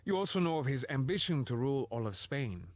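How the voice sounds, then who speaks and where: A man's voice: medium pitch, big pitch swings, fast talker, dry recording, natural voice. An actor playing a hearty, commanding medieval king in a game, speaking in a grand, theatrical way. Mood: confiding, commanding.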